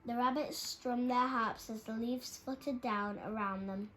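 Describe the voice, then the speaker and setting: A woman reads a picture book aloud for children. Her voice is fairly high, and the recording has clear background noise. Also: steady tone, measured pace, a bit bright. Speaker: storybook reader. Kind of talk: children's story read aloud.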